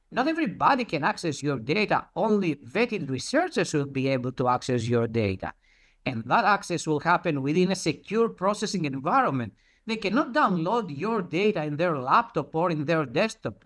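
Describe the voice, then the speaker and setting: A conference presenter with a mid-range voice and very animated intonation. The delivery is explanatory and didactic, built around rhetorical questions that the speaker then answers. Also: mid-range voice.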